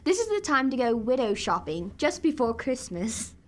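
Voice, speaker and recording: A woman acting a supportive, teasing wife in a radio comedy, with a playful, quick-witted delivery. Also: on the high side, very animated. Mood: bright, friendly. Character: bright, teasing, supportive wife of a college man.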